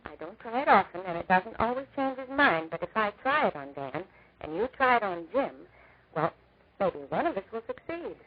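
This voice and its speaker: An actress playing a middle-aged, gently scheming wife and mother in 1940s radio drama, with a tactful, coaxing manner. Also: mid-range voice, big pitch swings, moderate pace, natural voice. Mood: confiding. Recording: noisy, tinny 1940s radio recording.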